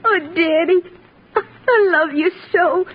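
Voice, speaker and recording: A woman's voice: very high voice, takes it slowly. An actress playing a sly, pleading young schoolgirl daughter in a 1940s radio drama, insisting on her innocence. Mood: tearful, pleading. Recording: scratchy, hissy, narrow 1940s radio recording.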